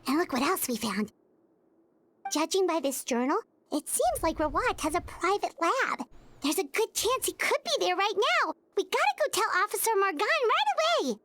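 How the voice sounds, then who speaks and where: A woman voicing a small, excitable female companion sprite in a game, eager and bouncy. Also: very high voice, full character voice. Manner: eager, bouncy, quick to chime in.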